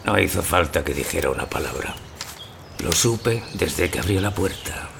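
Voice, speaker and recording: An actor playing a bitter, weary maimed door-to-door photographer in an audio drama, blunt but formally polite. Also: male voice, sits low, lively intonation, normal speed, vocal fry, background noise. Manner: blunt, resentful, formally polite to his customer. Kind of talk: audio fiction dialogue.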